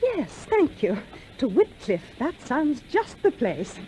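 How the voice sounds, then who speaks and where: An actress playing a gentle but determined young widowed English mother in a radio play. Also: high-pitched, wildly swooping pitch, takes it slowly, heavily acted, rough recording. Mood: polite, hopeful.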